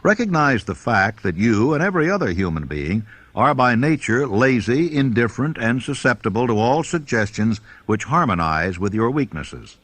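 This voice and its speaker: A man narrating a self-help audiobook, giving measured, instructive readings of scripted text. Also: medium pitch, sing-song, a bit bright, dry recording. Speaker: audiobook reader.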